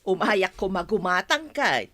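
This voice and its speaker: A woman's voice: mid-range voice, big pitch swings, unhurried, a bit bright. A woman playing a curious, friendly village woman chatting in a radio drama.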